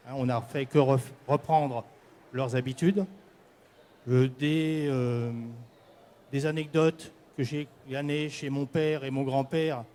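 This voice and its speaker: An older man from the Paris region giving a semi-prepared presentation to an audience, halting at times and correcting himself. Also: a little high, warm tone. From Paris region, France. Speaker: candidate presenting at a prize event.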